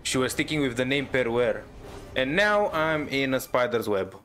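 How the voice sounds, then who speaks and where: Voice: medium pitch, lively intonation, normal speed, fairly bright tone. A gaming streamer giving casual live commentary, reacting aloud and talking to themselves while playing. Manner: reacts aloud, mutters to self. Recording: game music under the voice. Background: game music and combat audio.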